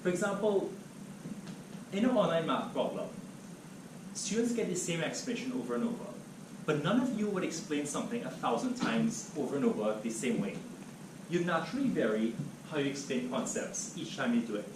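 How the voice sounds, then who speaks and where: A man's voice: fairly high pitch, fairly quick. A man from Trinidad and Tobago, giving an academic seminar talk as guest speaker in a lecture room. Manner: animated, steady lecturing. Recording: echoey room, distant mic, background noise.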